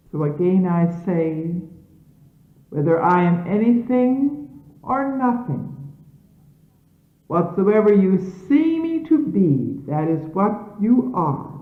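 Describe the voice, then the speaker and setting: A woman reading scripture as a solemn sermon in measured, emphatic, formal diction, on an archival recording from the 1970s. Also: medium pitch. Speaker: religious leader reading scripture aloud. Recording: phone-like, echoey 1970s archival tape.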